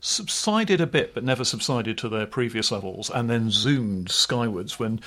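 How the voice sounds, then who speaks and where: A man's voice: medium pitch, lively intonation, normal speed, fairly bright tone. A middle-aged man co-hosting a political podcast, chatting in a wry, discursive way. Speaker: podcast co-host. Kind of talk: casual political chat.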